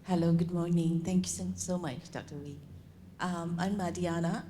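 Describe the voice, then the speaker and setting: A woman's voice: on the low side, steady tone, moderate pace, mellow, slight background noise. A woman in the audience raising an earnest, concerned point at a seminar. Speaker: audience questioner.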